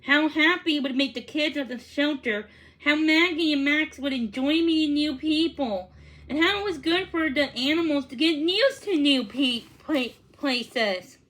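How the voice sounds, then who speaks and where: A woman reading a children's storybook aloud, haltingly, stumbling over words and correcting herself. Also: high-pitched, fairly bright tone, low-quality recording. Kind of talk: read-aloud storybook narration.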